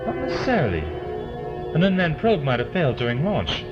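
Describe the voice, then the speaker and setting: A man's voice: fairly high pitch, wildly swooping pitch, brisk. A man playing a pedantic, genial eccentric scientist in a science fiction audio drama, lecturing with fussy precision and understatement. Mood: wry explaining. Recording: lo-fi audio under loud music.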